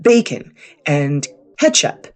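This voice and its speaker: A woman's voice: low voice, big pitch swings, slow pace, heavily acted. A woman voicing a helpful, inquisitive young boy in a storybook, expressively suggesting ideas as a science partner. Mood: eager, inquisitive.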